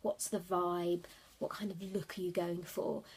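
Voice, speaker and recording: A woman speaks in a husky, slightly breathy voice, sniffly from a winter cold. She talks in a loose, chatty way, full of fillers and asides, as she hands out wedding-planning tips. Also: lowish voice, level tone, a little slow, darkish tone. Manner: chatty, rambling, many fillers. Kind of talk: casual livestream tips. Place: office at night.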